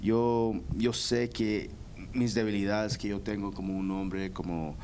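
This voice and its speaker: A young Guatemalan man with a Guatemalan Spanish accent, giving a halting personal faith testimony full of restarts. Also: fairly low pitch, little pitch movement, darkish tone, a little hiss or hum. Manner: hesitant, many restarts and repeated words.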